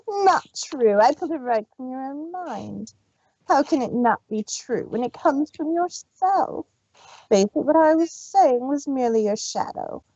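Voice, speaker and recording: A woman's voice: high voice, lively intonation, unhurried, mellow, dry recording, lo-fi sound. A woman voicing a gloating villainous female pony who taunts her victim. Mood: taunting. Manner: taunting, smug. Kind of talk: fan fiction reading.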